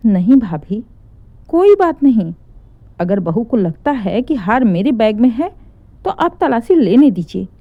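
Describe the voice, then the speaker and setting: A woman voicing a gentle, wronged elderly aunt, resigned and conciliatory, in a family story. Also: a little high, big pitch swings, unhurried, no room sound, phone-quality sound, exaggerated voice. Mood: calm, reassuring.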